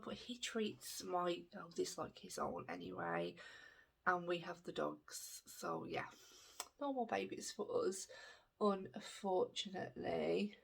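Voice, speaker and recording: A woman's voice: fairly low pitch, vocal fry, husky. A woman in her thirties, chatting frankly and at a rambling pace while doing her makeup for a vlog. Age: 30s. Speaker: makeup vlogger.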